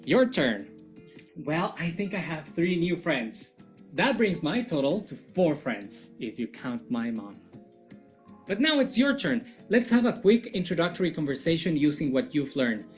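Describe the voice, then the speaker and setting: A woman's voice: low voice, very animated, slow, soft background music. A woman hosting a scripted language-lesson video in a playful, jokey manner. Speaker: video host.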